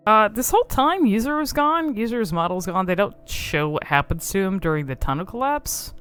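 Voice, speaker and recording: A woman's voice: medium pitch, expressive, brisk, fairly bright tone, smooth, soft background music. A woman giving casual, off-the-cuff commentary on a video game in a rambling, informal, amused manner.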